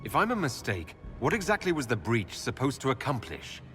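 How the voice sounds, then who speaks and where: An actor playing a defiant, earnest and direct heroic envoy in a fantasy video game. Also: male voice, mid-range voice, wildly swooping pitch, a little fast, a bit bright. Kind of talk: dramatic video game acting. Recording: long hall reverb, music behind.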